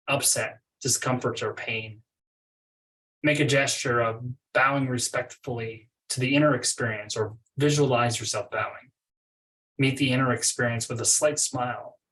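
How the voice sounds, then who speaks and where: A man's voice, medium in pitch, sits a little away from the microphone. He leads a guided meditation, giving scripted instructions in measured phrases with space between them. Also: a bit bright, recorded from a distance, poor audio.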